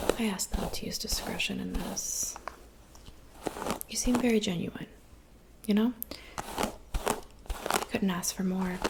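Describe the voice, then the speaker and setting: A woman playing a gentle, attentive witch in an ASMR roleplay, whispering breathily and unhurriedly with soft pauses. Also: lowish voice, heavy background noise. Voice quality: breathy whisper with creaky edges. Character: gentle, attentive old witch who looks young. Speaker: ASMR performer.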